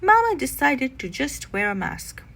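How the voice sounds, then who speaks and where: Female storybook narrator, expressive, high-pitched, swooping intonation, slightly rough tone. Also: measured pace. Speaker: children's read-aloud narrator.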